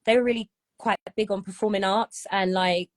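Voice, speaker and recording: A woman's voice: mid-range voice, steady tone, normal speed. A woman in her thirties, a singer, chatting casually in an interview and often checking "do you know what I mean?". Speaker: singer and guest.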